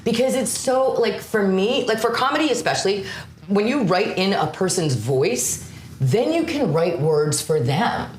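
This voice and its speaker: A young woman comic co-hosting a chat show, blunt and animated, her pitch swinging widely as she talks. The sound is roomy, with a faint hiss underneath. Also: unhurried. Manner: blunt, animated, jumps between ideas. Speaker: podcast co-host and stand-up comic. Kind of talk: casual chat.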